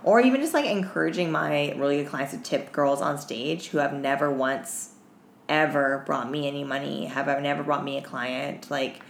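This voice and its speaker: Low-voiced tired woman podcaster in an echoey room. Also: normal speed. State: tired after staying up all night. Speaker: podcast co-host. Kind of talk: casual podcast chat. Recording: roomy echo, clear background noise.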